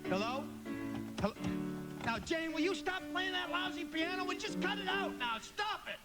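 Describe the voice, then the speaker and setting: A man's voice: extremely high pitch, lively intonation, moderate pace, loud music behind the voice. An actor playing a frantic, unraveling small-town family man in a live radio play, stammering and repeating himself. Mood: irritable, ranting.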